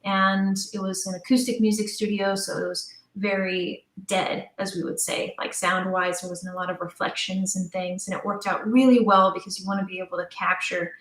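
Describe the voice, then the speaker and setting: A woman's voice: medium pitch, level tone, normal speed, few pauses, fairly bright tone. Woman chatting informally, roomy echo. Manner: chatty, informal, thinking aloud. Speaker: audio drama writer and director, interview guest. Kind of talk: conversational interview explanation.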